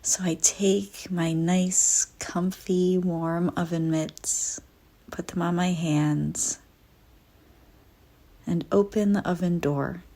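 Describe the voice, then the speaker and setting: Slow, husky, low-voiced woman guiding meditation. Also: steady tone. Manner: soft, breathy, soothing, deliberate delivery with frequent pauses. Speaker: guided meditation narrator. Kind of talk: guided visualization meditation, scripted first-person narration.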